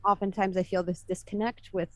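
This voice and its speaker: A woman's voice: mid-range voice, little pitch movement, fairly quick, dry recording. A woman from Italy with no Italian accent, a film composer answering interview questions in a chatty way, full of fillers and self-corrections.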